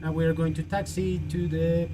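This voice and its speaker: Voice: mid-range voice, little pitch movement, moderate pace, noisy background. A flight simulator pilot talking through procedures step by step, with short callouts and radio phraseology, as a live demonstration.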